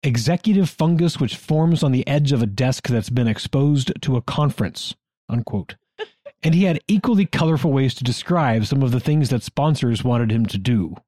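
A man's voice: medium pitch, lively intonation, normal speed, mellow, dry recording. A man co-hosting a podcast, telling history in a scripted but conversational style. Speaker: podcast co-host.

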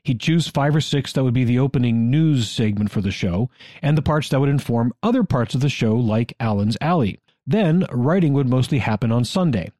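A man's voice: medium pitch, expressive, moderate pace, dark tone, no room sound, studio quality. A man co-hosting a podcast, telling history in a scripted but conversational style. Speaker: podcast co-host.

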